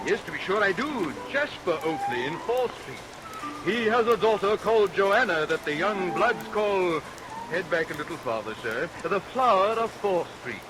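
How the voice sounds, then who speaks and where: A theatrical, murderous barber villain. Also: male voice, high-pitched, moderate pace. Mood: oily, fawning. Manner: theatrical, swings from fawning to furious snapping. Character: murderous, greedy London barber, oily and menacing villain. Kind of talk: old-time melodramatic radio acting. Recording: noisy vintage radio recording, rain over it. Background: steady rain.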